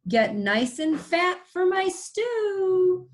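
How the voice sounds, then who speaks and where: A woman voicing a greedy, scheming male wolf from a children's storybook, with a gloating, drawn-out delivery. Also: extremely high pitch, very animated, pitched above the performer's own voice, exaggerated voice.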